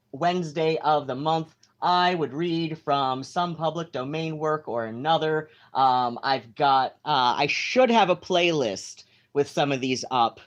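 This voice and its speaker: Slow, rambling home live-streamer. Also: mid-range voice, dull audio. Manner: meandering, many fillers and restarts.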